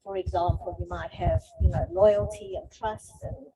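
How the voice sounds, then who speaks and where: A woman's voice: fairly low pitch, fairly even intonation, normal speed, mellow, husky. A middle-aged woman giving an explanatory motivational talk in an emphatic, instructive manner that repeats phrases for emphasis. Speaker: speaker on psychology and wellbeing. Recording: noisy, limited-band audio with clicks.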